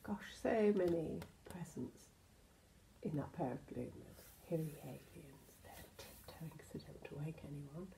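A British woman in her sixties reads a children's picture book aloud, expressively, with gentle asides addressed to the children. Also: low-pitched, moderate pace, gravelly, slight background noise, rough recording. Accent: British English. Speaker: storyteller, infant school teacher. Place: indoors in a home hallway.

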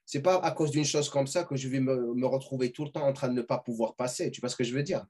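Brief: middle-aged man, husky voice, advising host on a webcam call from home. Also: mid-range voice, quick pace, a little mellow. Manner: advising, asks "tu vois ce que je veux dire?" often. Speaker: podcast host. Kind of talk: casual video-call interview. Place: home room with gaming chair. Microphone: webcam on a video call.

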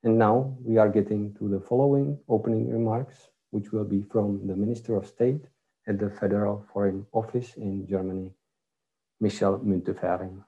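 A man's voice: fairly low pitch, steady tone, normal speed, dark tone, muffled recording. A man, formally chairing an online conference from a room at home over a video call.

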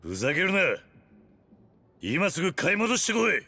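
An actor playing a gruff, blunt yakuza man in a video game cutscene, rough and commanding in his speech. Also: high voice, wildly swooping pitch, moderate pace, bright, forceful tone, full character voice. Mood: angry. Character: gruff, hot-tempered but honest tough yakuza man.

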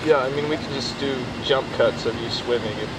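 A man's voice: medium pitch, brisk. Man, off-camera, dry and teasing, outdoor pool, noticeable background noise. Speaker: camera operator. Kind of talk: casual chat off camera.

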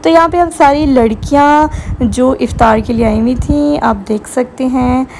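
A woman's voice: sits high, a little slow, vocal fry, darkish tone. A young woman with a Pakistani accent chattily narrating a vlog at a buffet and a mall, recorded on a handheld phone. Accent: Pakistani Urdu. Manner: chatty, enthusiastic, mixes English phrases into Urdu. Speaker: vlogger. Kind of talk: casual vlog narration, Urdu mixed with English. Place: restaurant buffet and shopping mall. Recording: noisy phone video with background chatter.

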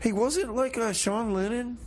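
A man's voice: very high voice, a little slow, a little hiss or hum. A man co-hosting a music radio show with casual comedic banter, repeating short phrases loosely. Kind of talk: casual comedic banter and song back-announcing.

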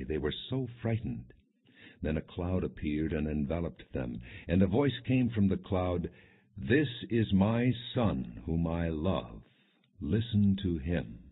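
Deep-voiced male narrator, muffled phone-like audio. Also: slightly rough. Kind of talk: solemn scripted Bible narration, non-dramatized reading.

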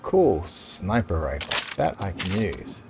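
A man giving relaxed, casual commentary while playing through a game level, thinking aloud as he goes. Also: low voice, slow. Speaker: gameplay narrator. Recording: loud game music behind voice.

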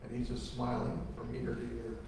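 Earnest pastor in an echoey church. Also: male voice, mid-range voice, completely flat delivery, moderate pace, far from the mic, noisy background. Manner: earnest preaching with folksy storytelling. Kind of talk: funeral sermon. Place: large church sanctuary. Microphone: lectern microphone from a far camera.

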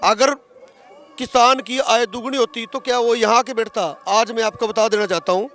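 A man's voice: very high voice, fairly even intonation, moderate pace, a bit bright, a little hiss or hum. A man in his thirties with a Haryanvi accent, speaking emphatically and argumentatively in a street interview as a protesting farmer. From Haryana, India. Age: 30s. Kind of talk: street interview, impassioned political talk. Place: outdoors at a roadside protest camp. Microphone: handheld interview microphone.

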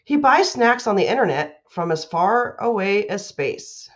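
A woman's voice: medium pitch, sing-song, unhurried, fairly bright tone, muffled recording. A woman, a school principal reading aloud and making announcements to children.

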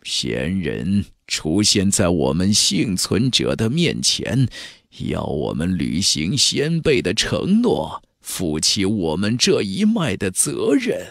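An ancient clan elder from a fantasy audiobook, acted by a man. He is low and unhurried, reverent and sorrowful. He recalls the past in archaic words, as if lost in a dream, over soft music. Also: creaky, no echo, full character voice. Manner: slow, dreamy, archaic storytelling. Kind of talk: audiobook character voice in a fantasy novel.

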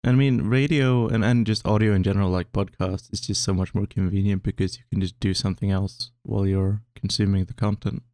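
Young swedish guy co-hosting a podcast, low voice, lots of false starts, dry close mic. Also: steady tone, fairly quick, few pauses, dark tone, dry recording. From Sweden. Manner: hesitant, many restarts, low-key. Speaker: podcast co-host. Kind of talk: casual chat.

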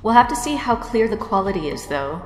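A woman's voice: medium pitch, sing-song, brisk, pitched below the performer's own voice, close to an everyday voice. A woman voicing a stern, formal professor with a brusque manner. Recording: echoey hall reverb.

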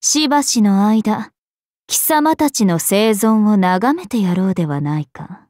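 Slow, imperious princess spirit, acted by a woman. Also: medium pitch, lively intonation, a little mellow, no echo, studio quality, close to an everyday voice. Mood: lofty, detached. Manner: imperious, archaic, composed, aloof. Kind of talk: Japanese mobile game character voice lines.

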